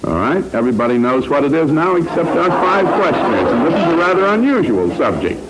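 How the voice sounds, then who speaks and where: A male American quiz host from old-time radio, with lively, swooping intonation. He is wry and teasing as he talks to the contestants. The recording is vintage and clearly noisy. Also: a little high, a little fast.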